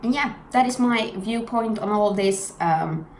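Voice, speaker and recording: A woman's voice: medium pitch, a little slow. Frank Swedish-accented young woman. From Sweden. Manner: frank, blunt, earnest. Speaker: vlogger. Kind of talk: candid personal commentary. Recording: echoey room with faint noise.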